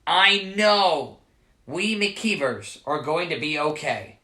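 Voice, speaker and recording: A storyteller voicing a gentle, worried father who reassures his son. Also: mid-range voice, lively intonation, slow pace, roomy sound. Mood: tender.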